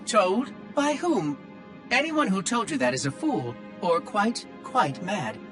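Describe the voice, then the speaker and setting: A man voicing a prim, fretful ancient machine intelligence in a video game cutscene, over a loud score. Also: sits high, big pitch swings, slow, music in the background, full character voice.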